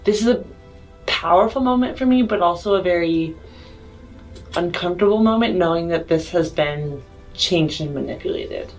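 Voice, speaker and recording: A woman's voice: lowish voice, normal speed, darkish tone, soft background music, rough recording. A woman talking casually as a player in a tabletop game, thinking aloud a little tentatively. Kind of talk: casual improvised game talk.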